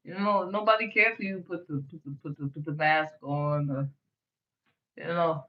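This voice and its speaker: A woman's voice: low-pitched, steady tone, moderate pace, dull audio. A woman in her early thirties from the US Midwest, with a light voice, hosting a late-night livestream in a rambling, blunt and profane way. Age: 30s. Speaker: livestream host. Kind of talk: late-night casual stream rant.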